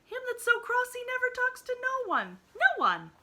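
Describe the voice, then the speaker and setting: A woman voicing an excitable young rural housemaid who speaks in a country dialect and gushes breathlessly. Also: extremely high pitch, moderate pace, pitched above the performer's own voice, heavily acted. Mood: eager gossip.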